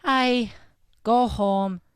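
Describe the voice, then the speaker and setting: A woman's voice: fairly high pitch, fairly even intonation, extremely slow, clear, resonant tone, no echo, studio quality. A woman playing a stern, indignant Irish school principal in improvised radio comedy, firm and reproachful. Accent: Irish, put on.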